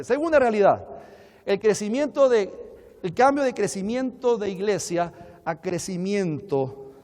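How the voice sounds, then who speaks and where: A man's voice: sits high, a little mellow. A man with a Colombian Spanish accent, teaching in a sermon style to an audience, conversational and full of rhetorical questions. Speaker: preacher and conference speaker. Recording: echoey hall with long reverb.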